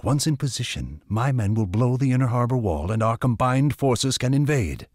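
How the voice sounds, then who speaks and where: An actor playing a formal, calculating lord and military commander in a video game, with a husky voice and measured, aristocratic phrasing. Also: male voice, medium pitch, sing-song, darkish tone, no room sound, studio quality. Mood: smooth, scheming. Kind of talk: video game cutscene acting.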